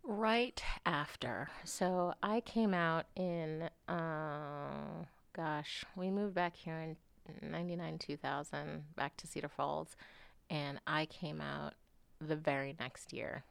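A woman in her forties talking candidly as an interview guest, frank and animated, swearing casually and sometimes dropping into soft asides. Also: deep voice, slow pace, gravelly, clear, resonant tone, no room sound. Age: 40s. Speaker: guest artist.

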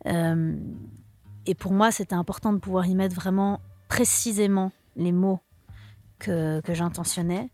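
Young woman, singer-songwriter guest, low-pitched, halting, restarts often. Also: fairly even intonation, warm tone, dry recording, faint music behind. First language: French. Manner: hesitant, searching for words, many restarts. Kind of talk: casual interview answers.

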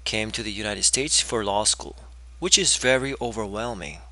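A man's voice: fairly low pitch, normal speed, pushed and bright, slight background noise. A man from South Korea, hosting a podcast in a playful, upbeat way. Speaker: podcast host. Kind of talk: introducing a podcast.

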